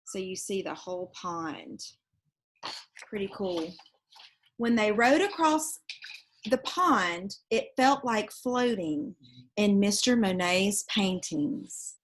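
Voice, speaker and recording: A woman's voice: medium pitch, lively intonation, slow pace, low-quality recording. A woman reading a children's storybook aloud expressively, with casual asides explaining the pictures to young listeners. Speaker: storybook reader.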